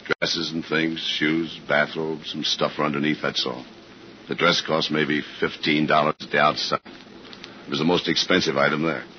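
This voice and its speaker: An actor playing a terse, hard-boiled detective in a 1950s radio drama, talking in clipped slang. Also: male voice, very deep voice, level tone, moderate pace, slight background noise. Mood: flat, terse. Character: hard-boiled detective, doubting and self-reproaching, tailing a suspect. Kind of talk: 1950s radio drama acting, noir narration. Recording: muffled 1950s radio recording, music behind.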